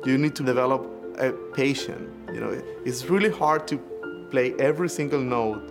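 A young adult man from Venezuela with a Venezuelan Spanish accent, a conductor speaking earnestly and with measured hesitations about music education. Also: mid-range voice. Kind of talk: reflective personal testimony. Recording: interview over clear music. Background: instrumental music.

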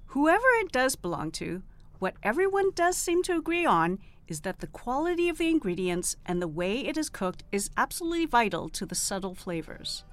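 Lively woman podcast co-host. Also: fairly high pitch, brisk. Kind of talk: scripted narration.

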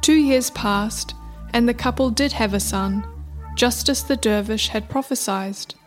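A woman's voice: on the high side, measured pace. A woman narrator reading a scripted radio play in a storybook style. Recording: faint music under narration.

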